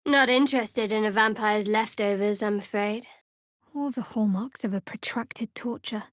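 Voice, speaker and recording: An actress voicing a guarded, devout dark cleric woman in a video game, with a dry, sardonic, measured delivery. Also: mid-range voice, dry recording, natural voice. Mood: dry, wry, then watchful, measured. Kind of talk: video game character voice acting.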